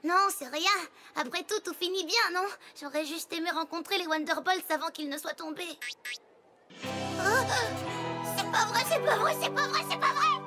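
A woman voicing a brash, excitable young female flying pony in a French cartoon dub. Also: extremely high pitch, normal speed. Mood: elated. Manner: excitable, exclamatory. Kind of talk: French-language cartoon dubbing. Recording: loud music behind the voice.

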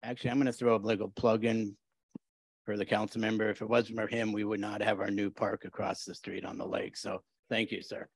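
A man's voice: on the low side, little pitch movement, a little fast, gravelly, no echo. A middle-aged man, the mayor, chairing a city council meeting at a desk microphone, running the procedure briefly and in a friendly way.

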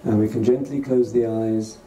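A man leading a guided meditation as a teacher, speaking gently and unhurriedly in short phrases with pauses between them. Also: fairly low pitch, steady tone. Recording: distant roomy recording with faint noise.

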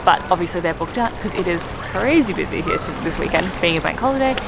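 A woman's voice: lowish voice, animated, fast talker, vocal fry. Bubbly young Australian woman vlogging outdoors. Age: young adult. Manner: chatty and bubbly, with frequent "like" and laughs. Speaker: travel vlogger. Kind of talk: casual travel vlog. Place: outdoors, in a rowboat on a lake and by a lakeshore. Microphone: handheld camera close to the face. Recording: outdoor wind and water noise.

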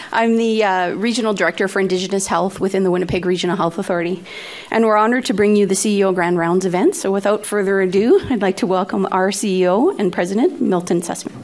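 A woman's voice: mid-range voice, quick pace, darkish tone. A woman with a mid-range voice welcomes the audience. She speaks casually and hesitantly, with lots of ums, from the front of a conference hall. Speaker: regional director, emcee. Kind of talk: event welcome.

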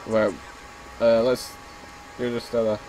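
A man commentating casually on his own gameplay, slightly hoarse as he is losing his voice, muttering reactions as he plays. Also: medium pitch, little pitch movement, takes it slowly, rough recording. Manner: chatty, mutters reactions while playing. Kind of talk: casual let's-play commentary.

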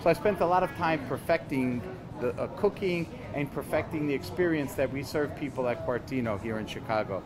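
A man in his fifties, a chef being interviewed, explaining things conversationally and haltingly, often repeating words. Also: mid-range voice, over music. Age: 50s. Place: store counter indoors.

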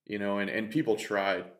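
A man in his thirties speaks in a fairly low register, earnest and reflective as he recounts his own experience to a host. He often restarts his sentences and circles back to a thought. The sound is close and clean, from a desk mic in a studio room. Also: unhurried. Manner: earnest, reflective, self-interrupting. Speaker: podcast guest, coach. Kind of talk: candid storytelling in conversation. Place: bright studio room, indoors. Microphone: desk mic on stand.

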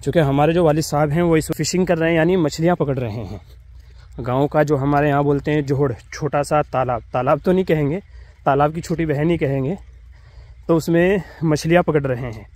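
Mellow-voiced young man vlogging outdoors. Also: a little high, fairly even intonation, brisk. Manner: polite, addresses viewers directly. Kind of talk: casual vlog narration. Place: outdoors in the village. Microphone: phone at arm's length, selfie view.

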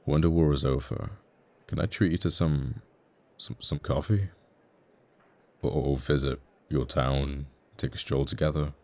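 A man playing a flirty, tender fighter pilot in a romantic ASMR roleplay, stammering bashfully and haltingly. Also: extremely low pitch, fairly even intonation, a little mellow. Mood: earnest, devoted.

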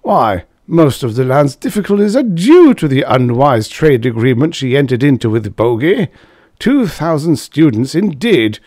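Man doing a snooty scoffing official, high and loud, dry audiobook sound. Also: big pitch swings, moderate pace, dry recording. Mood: scornful. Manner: snorting, dismissive. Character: scornful indignant male official.

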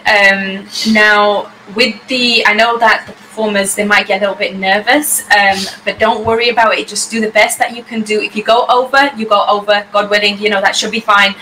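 Woman co-host, reassuring, rambling, bright voice, faint noise. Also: mid-range voice, poor audio. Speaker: competition co-host. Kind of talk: casual live-stream hosting. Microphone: online call.

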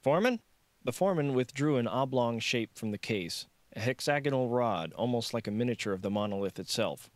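A man's voice: medium pitch, a little fast, few pauses, dry recording. A man reading scripted narration for a thriller audiobook.

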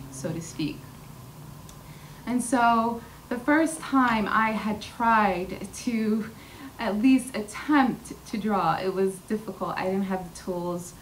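A woman's voice: mid-range voice, a little hiss or hum. A young woman giving an earnest personal talk from a podium, with frequent fillers and restarts. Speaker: featured artist speaker. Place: large indoor hall with glass wall. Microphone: podium mic. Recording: distant room mic, faint hall ambience.

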